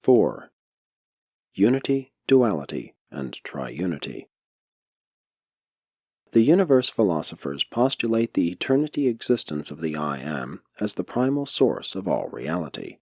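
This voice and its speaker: A man reading a spiritual text as an audiobook narrator, in measured, deliberate phrasing. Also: low voice, darkish tone, dry recording, highs cut off.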